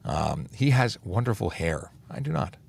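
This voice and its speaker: Man, very low gravelly voice, cop answering chat questions on a livestream, easygoing with lots of fillers. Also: normal speed. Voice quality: deep voice. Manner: relaxed, many "uh" fillers and restarts. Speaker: police officer hosting a traffic Q&A livestream. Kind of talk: casual livestream Q&A, reading and answering viewer questions.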